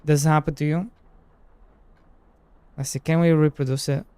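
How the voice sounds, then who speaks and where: A man's voice: a little high, level tone, normal speed, dark tone, clear, resonant tone, no echo. A man who develops indie games, chatting casually with a friend on a live stream in an easygoing way. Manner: easygoing, says "bro".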